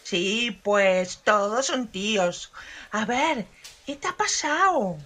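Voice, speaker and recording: A woman plays a brassy Spanish wife in a comic radio soap. Her voice is sharp and bright, swinging widely in pitch. She scolds and teases with a Spain accent, taking her time over the words. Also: animated, slow pace, exaggerated voice. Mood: brash, curious. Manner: brash, scolding, teasing. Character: brassy, sassy Spanish barkeeper's wife, teasingly affectionate. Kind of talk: humorous radio soap acting.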